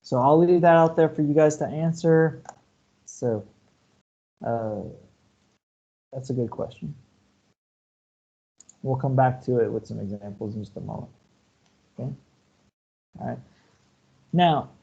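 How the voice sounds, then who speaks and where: A man's voice: fairly high pitch, mellow, slightly rough, dull audio. A male university lecturer teaching programming online over shared slides, explaining step by step and often checking in with "okay?" and "right?". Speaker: university computer science lecturer.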